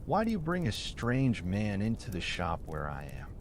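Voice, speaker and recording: Man reading as firm young enchantress, lively pitch. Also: moderate pace, a little hiss or hum. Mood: alarmed. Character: butcher's young daughter, shrewd, commanding enchantress.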